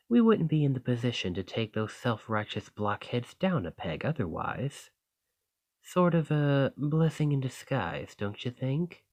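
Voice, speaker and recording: A man's voice: mid-range voice, darkish tone. A man playing a roguish bandit leader in an audio roleplay, confident and wry, with a folksy, teasing, drawling manner. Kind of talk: scripted roleplay acting addressed to the listener.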